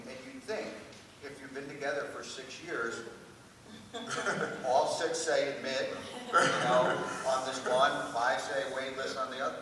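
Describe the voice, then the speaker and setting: A man speaking informally as an admissions officer in a panel discussion, in a conversational, anecdotal way. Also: mid-range voice. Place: large room at a public panel event. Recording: echoey hall from far off, noisy.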